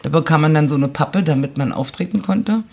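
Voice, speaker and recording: A woman's voice: low-pitched, fairly quick, creaky, a little mellow, breathy edge. A middle-aged woman from East Germany, a podcast guest telling chatty anecdotes about her past and laughing often. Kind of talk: casual chat. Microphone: studio microphone, audio-only podcast.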